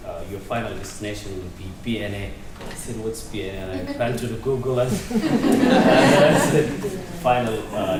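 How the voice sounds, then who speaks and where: A young man, pausing often and filling gaps with small hesitations as he speaks up from the audience. His voice sits low and reaches the mic from across a roomy hall, with a steady hum of noise behind him. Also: far from the mic. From Somalia. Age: young adult. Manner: hesitant, many pauses and fillers, cheerful. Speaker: audience member asking a question. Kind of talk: public comment at a community meeting. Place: community meeting hall. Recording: echoey hall, voice heard from afar, background noise.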